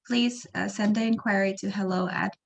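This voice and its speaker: A woman's voice: mid-range voice, fairly even intonation, normal speed, gravelly. A woman moderating a webinar over a video call, politely making a short announcement with instructions. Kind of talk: brief announcement.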